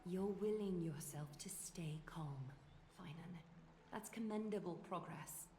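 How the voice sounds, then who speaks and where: A woman voicing a composed, stately leader in a fantasy video game, speaking in a formal, measured, authoritative way. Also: fairly low pitch, natural voice. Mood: measured approval. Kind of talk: English video game dub acting. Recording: echoing game audio under music.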